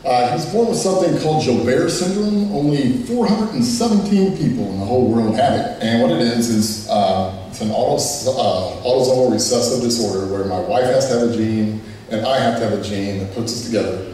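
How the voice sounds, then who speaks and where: A man's voice: mid-range voice, brisk, few pauses, a little hiss or hum. A man telling his personal testimony as a father, plainspoken and earnest, with moments of strong emotion. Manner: plainspoken, earnest, emotional, quotes others in lively voices. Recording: echoey and distant with music underneath. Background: soft music.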